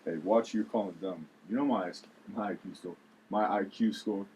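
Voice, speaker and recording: Guy playing a reluctant cop who goes by the book, lowish voice, noisy room recording. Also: moderate pace, darkish tone, highs cut off, lightly acted. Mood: hurt, defensive. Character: gruff by-the-book policeman, wounded over a rejected crush. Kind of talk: table read of a script.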